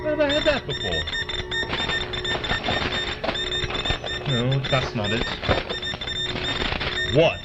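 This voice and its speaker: Eccentric alien time traveler played by a man, huffy and musing, hugely animated delivery, lo-fi with loud music behind. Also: mid-range voice, measured pace. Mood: musing, puzzled. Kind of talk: audio drama acting.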